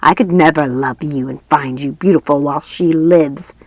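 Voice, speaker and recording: Lady doing a nasty ghost dad in a mirror, slow n spiteful, evil storybook voice, super dry recording. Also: low voice, darkish tone, dry recording, pitched below the performer's own voice. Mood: hateful, spiteful. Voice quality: horrible, wicked voice. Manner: spitting, slow, venomous delivery. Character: cruel hateful ghost of a father in a mirror.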